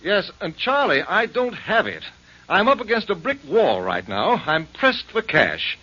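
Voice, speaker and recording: A man's voice: sits high, sing-song, a little slow, bright, forceful tone, husky, heavily acted. An actor playing a desperate, ruined businessman who pleads for time in a 1940s radio drama. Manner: pleading, strained. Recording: dull lo-fi 1940s radio broadcast.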